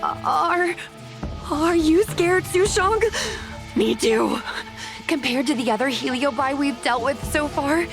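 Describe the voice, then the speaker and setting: A woman's voice: high-pitched, slow, vocal fry, music in the background, exaggerated voice. A woman playing a timid, candid young female ghost hunter in a video game, speaking hesitantly. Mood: nervous. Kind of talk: video game cutscene acting.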